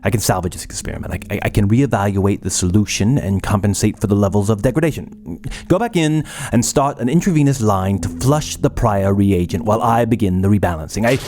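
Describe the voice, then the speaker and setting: An actor playing an intense, impatient, obsessive young medical scientist in a horror audio drama, with formal, arrogant diction. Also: male voice, fairly low pitch, brisk, few pauses, darkish tone, lightly acted. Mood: frantic, determined.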